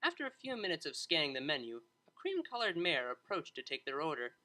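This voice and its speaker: A narrator reading a story aloud in a scripted, expressive storytelling style. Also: medium pitch, brisk.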